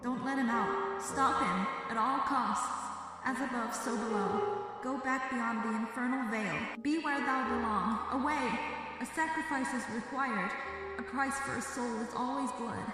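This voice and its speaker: A woman playing a solemn, remorseful ghost in a horror audio drama, speaking gravely and urgently. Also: on the high side, a little slow, background noise, close to an everyday voice. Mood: grave, desperate. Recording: very echoey long reverb, music bed.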